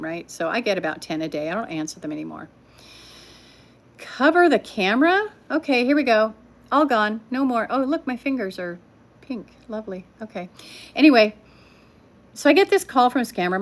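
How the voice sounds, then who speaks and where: An older woman telling stories at home on a livestream, chatting with viewers and laughing often. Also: mid-range voice. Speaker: livestream storyteller. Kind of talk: casual livestream chat. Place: home living room.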